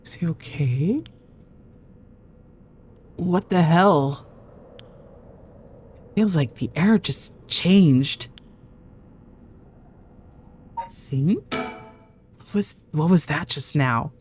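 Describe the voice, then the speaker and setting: A woman voicing an earnest, anxious young man in a horror visual novel, halting when alarmed. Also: low-pitched, lively intonation, a little mellow, slight background noise, full character voice. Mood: alarmed, worried.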